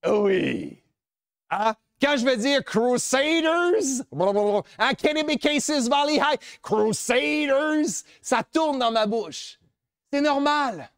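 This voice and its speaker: High-voiced New Brunswick French man speaking slowly. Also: clean tone, no echo, clean studio sound. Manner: expressive, switches between French and English, rhetorical questions. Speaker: keynote speaker on French-language education. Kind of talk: animated motivational speech to an audience.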